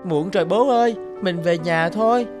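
A woman's voice: lowish voice, moderate pace, music in the background, lightly acted. Woman acting a sweet, clingy little girl. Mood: pleading. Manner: pleading, childlike. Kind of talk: cartoon dialogue acting.

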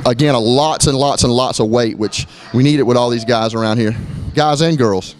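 A man's voice: medium pitch, moderate pace. Middle-aged man giving a gym tour. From Florida. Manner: relaxed, with frequent fillers and restarts. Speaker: gym owner. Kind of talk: walkthrough tour, casual narration. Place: gym indoors, moving through rooms.

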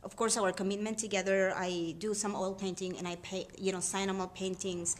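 A woman's voice: medium pitch, level tone, a little fast. A woman from Peru, a guest mom chatting casually and with animation in a gallery shop. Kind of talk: casual chat.

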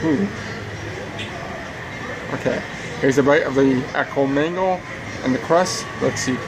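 A man's voice: mid-range voice, measured pace. A man in his thirties giving an enthusiastic, casual food review to camera, talking between bites. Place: outdoors at a theme park table. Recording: noisy outdoor recording with background hum.

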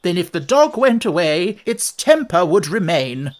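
A man's voice: sits high, big pitch swings, a little slow. A man voicing an imperious, impatient, scolding queen in a storybook reading, emphatic and bossy. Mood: triumphant, snappy.

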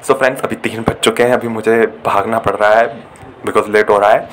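A man's voice: on the low side, fairly even intonation, brisk, music in the background. A young man from India casually narrating a vlog as he walks through a shopping mall, talking to a phone camera. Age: young adult. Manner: chatty tour-guide talk mixing in English words. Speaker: vlogger. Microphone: phone camera held at arm's length.

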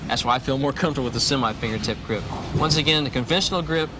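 A man's voice: medium pitch, racing, very noisy. A man in his thirties, a pro bowler, explaining grips and shoes in an instructional video, recorded indoors with a lapel mic and repeating the key points. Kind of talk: instructional demonstration. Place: indoor bowling alley.

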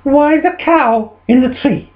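Man doing an exasperated, shrill farmer's wife. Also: a little slow, phone-quality sound. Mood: baffled.

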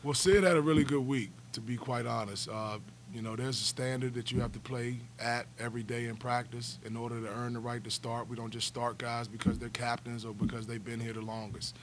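Earnest basketball coach at a press conference. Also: male voice, medium pitch, level tone, brisk, hardly any pauses, background noise. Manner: earnest, repeats words when restarting. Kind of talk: postgame press conference answers. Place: press room, seated at a table before a backdrop. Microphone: table stand mic.

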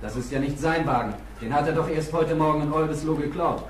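An actor playing an alert, confident police lookout with a matter-of-fact manner in a German radio play. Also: male voice, a little high, fairly quick, natural voice, poor audio. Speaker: actor in an audio drama. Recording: loud aircraft engine noise.

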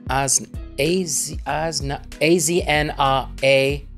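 A middle-aged man co-hosting a podcast, talking in an animated, rambling way into a studio mic in a home room. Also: fairly high pitch, slow, faint music behind. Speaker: podcast co-host. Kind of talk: casual chat. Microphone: large studio mic.

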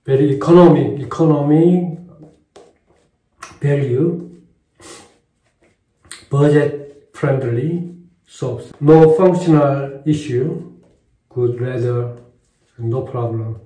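An older Korean man with a Korean accent, talking in halting English with many pauses while shaving in a tiled bathroom. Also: medium pitch, dark tone, reverberant, poor audio. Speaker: shaving vlogger. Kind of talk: casual vlog talk while shaving. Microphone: camera in front at close range.

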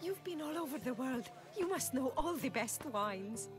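A woman's voice: high-pitched, animated, normal speed. A woman playing an affectionate, flirtatious middle-aged single mother who farms, in a video game cutscene. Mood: flirtatious, delighted. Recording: music bed under the dialogue.

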